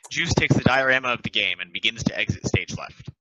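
A man's voice: mid-range voice, expressive, brisk, sharp tone, no room sound. A young man reading stage directions aloud as scripted narration over a video call. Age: young adult. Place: home room, over a group video call. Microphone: headset on video call. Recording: dull, band-limited video call.

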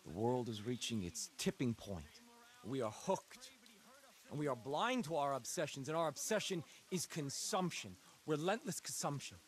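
An actor playing an intense, messianic cult leader in a video game, delivering a dramatic sermon with deliberate, repetitive rhetoric. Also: male voice, medium pitch, very animated, natural voice. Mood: solemn, fervent. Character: intense messianic male cult leader preaching to his flock.

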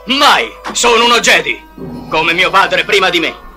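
An actor playing an earnest, defiant young knight in an audio storybook. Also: male voice, high voice, animated, a little slow, pushed and bright, faint music behind, heavily acted.

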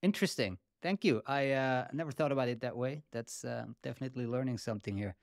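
A man in his thirties, a native German speaker, hosting a podcast interview in a quick, friendly, casual way with many fillers. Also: medium pitch, gravelly, no room sound, clean studio sound. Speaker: podcast host. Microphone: studio podcast mic.

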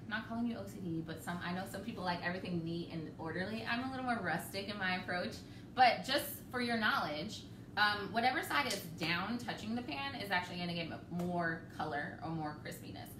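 A woman in her thirties hosting a live home cooking demo, chatty and relaxed, laughing often. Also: mid-range voice. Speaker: cooking show host. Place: home kitchen, indoors. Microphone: camera across the kitchen. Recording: roomy, distant, noisy home kitchen.

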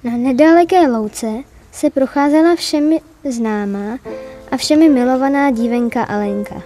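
Woman reading a fairy tale, kinda high voice, music playing behind her. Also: dark tone. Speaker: narrator. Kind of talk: scripted fairy-tale narration.

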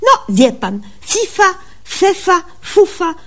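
A woman's voice: very high voice, very animated, takes it slowly, a bit bright, exaggerated voice. A woman playing a naive, self-assured young country doctor in a comic radio sketch, theatrical and mock-learned, with folksy slips. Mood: confidently explaining.

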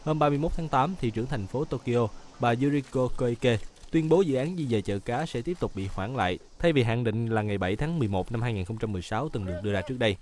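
A man narrates news reports in a brisk, even read. He talks quickly at a middle pitch for a man. It sounds like a close studio voice-over.

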